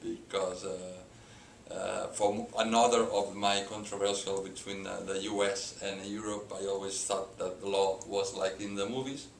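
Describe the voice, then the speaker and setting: A middle-aged man from Spain with a Spanish accent, a company president answering interview questions haltingly with many filler sounds and restarts. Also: sits low, flat delivery, vocal fry. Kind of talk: hesitant unscripted interview answers. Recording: echoey room with background hiss.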